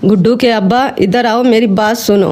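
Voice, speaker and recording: A man voicing a gentle, reassuring wife and mother in a cartoon story. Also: high voice, steady tone, moderate pace, natural voice.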